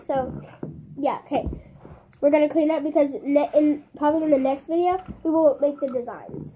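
Chatty high-voiced young girl vlogging. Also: normal speed, highs cut off, a little hiss or hum. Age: child. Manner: chatty, rambling, addresses viewers directly. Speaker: channel host. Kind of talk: casual kids' craft vlog. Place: small carpeted bedroom, seated on floor. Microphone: camera on the floor nearby.

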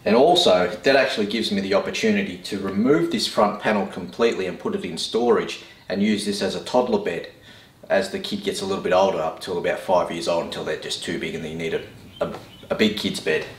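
A man's voice: low voice, brisk. A man in his thirties with an Australian accent, casually explaining his woodworking project in plain, laid-back words from a home workshop. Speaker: woodworking vlogger. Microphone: camera mic, speaker a few steps away. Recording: echoey workshop, voice from a distance.

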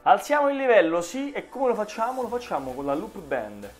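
A man's voice: high voice, very animated, a little fast. A man in his thirties from Italy giving informal, encouraging exercise instruction as a personal trainer. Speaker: personal trainer and fitness channel host. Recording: music behind the voice. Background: background music.

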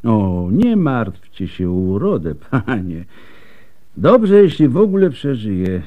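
An actor playing a weary, bitter, bluntly honest doctor in a radio drama, speaking deliberately with sighs. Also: male voice, medium pitch, sing-song, phone-quality sound. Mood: bitter, helpless.

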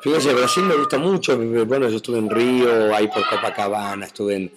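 A man's voice: medium pitch, brisk, loud music behind the voice. Chatty man with Argentine-Mexican accent. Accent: Argentine Spanish mixed with Mexican Spanish. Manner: chatty, rambling, with frequent fillers and soft laughs. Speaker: actor doing a live webcam chat. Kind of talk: casual live-stream chat reading viewer comments. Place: his home.

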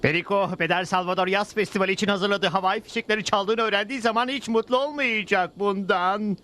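A man's voice: high voice, fast, bright, forceful tone. A man voicing a submissive, worried bandit sidekick in a Turkish cartoon dub. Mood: uneasy.

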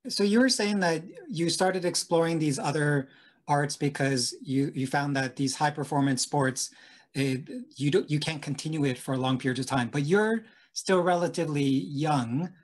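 A man's voice: a little high, normal speed. Man hosting a podcast. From Canada. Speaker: podcast host. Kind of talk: podcast intro and interview questions.

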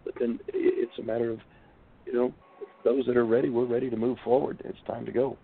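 A man guest on a talk show, losing his voice and speaking haltingly with many fillers. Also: medium pitch, little pitch movement, husky, phone-quality sound. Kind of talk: casual talk show chat.

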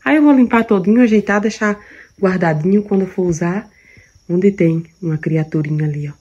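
A woman's voice: lowish voice, fairly quick, a little mellow, breathy edge, muffled recording. A woman from Brazil, chatting casually through a cooking vlog in her home kitchen, fond of diminutives. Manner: chatty, affectionate, lots of diminutives. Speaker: vlogger.

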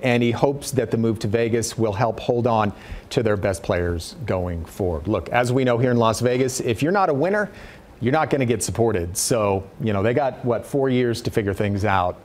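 A man's voice: mid-range voice, expressive, normal speed, darkish tone. A middle-aged man anchoring local TV news from a studio, reporting with wry, dry humor.

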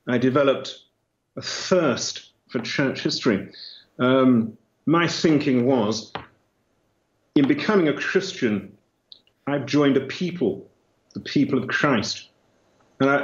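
A man in his fifties from London with an English accent, answering as an interview guest. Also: medium pitch. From London, England. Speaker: guest, church historian. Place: indoor room with long table. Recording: roomy, dull, noisy remote link.

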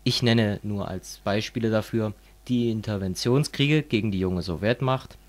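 A man's voice: fairly low pitch, normal speed, natural voice. A man playing a fervent communist who lectures a friend in a didactic, declamatory way, as in an amateur radio play.

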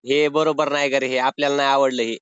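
A man's voice: mid-range voice, little pitch movement, quick pace, a bit bright, clean tone, no room sound. A man making a prank phone call, bantering in an insistent, wheedling, mock-pleading way. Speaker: prank caller. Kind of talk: unscripted-sounding phone banter. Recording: dull lo-fi phone call recording.

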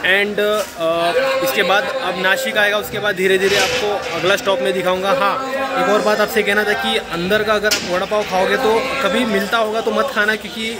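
A man's voice: high-pitched, flat delivery, moderate pace. A man vlogging a train journey, chatting casually and giving viewers tips. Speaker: travel vlogger. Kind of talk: casual vlog narration. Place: inside a moving train and on a station platform. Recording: loud crowd around.

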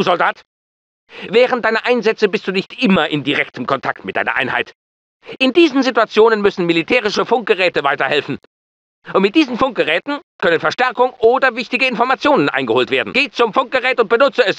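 An actor playing a gruff, bullying military drill sergeant in a video game, barking commands and insults in clipped orders. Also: male voice, high-pitched, sharp tone, dry recording, exaggerated voice. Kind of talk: German video game dubbing.

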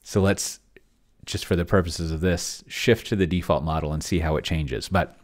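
A man's voice: sits low, steady tone, moderate pace. A middle-aged man giving casual livestream commentary, rambling and thinking aloud as he types. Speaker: host of a solo tabletop game video.

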